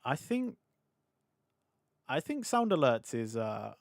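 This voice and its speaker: A young British man streaming live, rambling and laughing as he chats with his audience, his voice a little hoarse from hours of talking. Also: mid-range voice, big pitch swings, no echo, clean studio sound. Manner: chatty, scattered, quick to laugh. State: slightly hoarse. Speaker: VTuber streamer. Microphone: desk mic on a boom arm.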